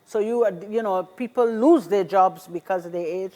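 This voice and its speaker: A woman's voice: medium pitch, normal speed, dark tone. A woman in her sixties with a Barbadian accent, giving encouraging advice in a conversational interview, emphatic and repeating key phrases. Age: 60s. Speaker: interview guest, seniors' advocate.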